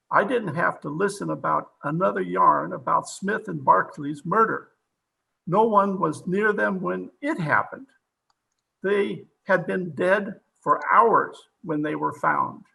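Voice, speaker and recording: Male actor as frustrated station captain, heavy gravel, unhurried, commanding, old-time radio drama, video call recording. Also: sits high, bright, forceful tone, full character voice, poor audio. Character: stern, frustrated police captain in charge of a station.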